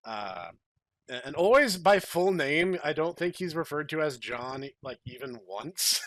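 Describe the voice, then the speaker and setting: A man hosting a podcast with playful banter, talking in a mock-grandiose, theatrical way and often repeating words haltingly as he goes. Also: on the high side, expressive, a bit bright, dry recording. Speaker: podcast host.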